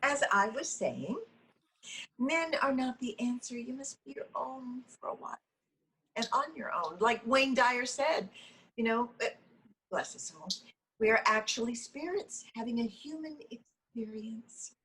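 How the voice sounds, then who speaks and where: Woman acting flustered goddess, high voice, very animated, insistent, defensive, comedy reading. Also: a little slow, a little hiss or hum, poor audio. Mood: preachy. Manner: flustered, insistent, nervous laughs. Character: frazzled, defensive, delusional lovestruck goddess Luella, meeting leader. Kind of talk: comedy screenplay table reading.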